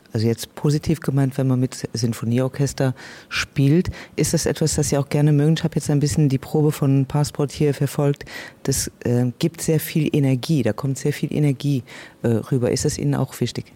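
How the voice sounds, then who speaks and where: A man of middle pitch asks polite, formally worded interview questions, hedging his statements as he goes. His voice has a mellow, somewhat dark tone. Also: fairly even intonation, normal speed.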